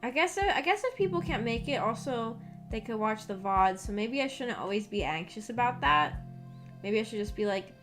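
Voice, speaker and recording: A woman's voice: fairly high pitch, expressive, normal speed, a bit bright. A woman streamer talking casually to her viewers in a rambling, hesitant way, thinking aloud as she goes. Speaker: VTuber streamer chatting with viewers. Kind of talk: casual livestream chat. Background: background music.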